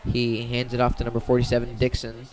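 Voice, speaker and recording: A man's voice: mid-range voice, monotone, fast, a little mellow, rough recording. A man giving radio-style play-by-play commentary on a football game.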